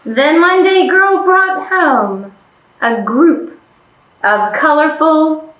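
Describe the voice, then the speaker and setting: Very high, animated woman telling children stories. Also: drawn-out words, highs cut off. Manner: exaggerated, playful, addresses young children with questions. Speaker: library storytime presenter. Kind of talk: animated children's storytime, reading and acting out. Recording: roomy, echoing room sound.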